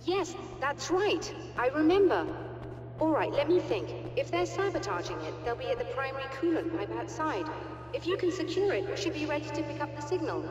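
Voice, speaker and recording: A woman's voice: high-pitched, big pitch swings, fairly quick. A woman playing an uneasy, puzzled scientist in a video game, hesitant and thoughtful. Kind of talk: video game dialogue acting. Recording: echoey hall, muffled, music behind.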